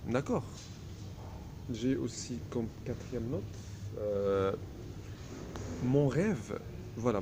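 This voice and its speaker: A man's voice: medium pitch, background noise. A man whose first language is Arabic, delivering a grandiose solo video monologue in a self-assured tone, full of hesitations. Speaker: vlogger.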